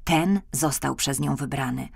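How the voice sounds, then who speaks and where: A woman's voice: rumbling low, a little slow, creaky, breathy edge. A woman narrating a children's audiobook, telling the scripted story expressively.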